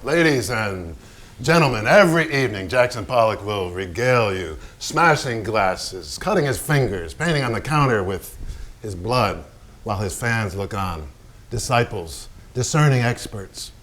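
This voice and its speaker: A man's voice: on the low side, fairly bright tone. A man on stage playing a self-destructive, hard-drinking, raging painter in a theatrical monologue, declaiming in short blunt phrases. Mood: boastful, bitter. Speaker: stage actor in a staged reading.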